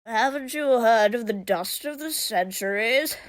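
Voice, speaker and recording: A woman's voice: a little high, animated, measured pace. A woman voicing a smug, pedantic museum director in a comic fan dub. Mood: smugly amused.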